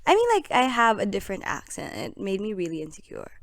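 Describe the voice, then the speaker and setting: A woman's voice: mid-range voice, sing-song, quick pace. A young woman from the Philippines with a Filipino accent, a podcast guest telling stories in casual chat. She giggles often, talks with animation and keeps saying "literally" and "like".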